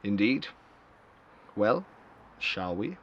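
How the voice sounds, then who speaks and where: A man's voice: lowish voice, very animated, extremely slow, highs cut off, a little hiss or hum, full character voice. A man playing a mild, chatty first date in an audio drama, making polite, hesitant, slightly awkward small talk. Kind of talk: conversational audio drama dialogue.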